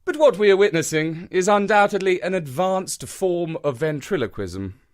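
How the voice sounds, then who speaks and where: Storybook detective, confident and energetic, raised pitch, voiced by a man. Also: normal speed. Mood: assured. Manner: energetic, crisp, precise pronouncements. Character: brisk, brilliant, self-assured male detective.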